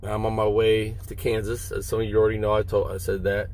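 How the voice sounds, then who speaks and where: A man, a long-haul trucker, talks casually and haltingly to his viewers from inside a parked truck cab, explaining things and checking in with short questions. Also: lowish voice, monotone, a little mellow. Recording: vehicle noise behind the voice.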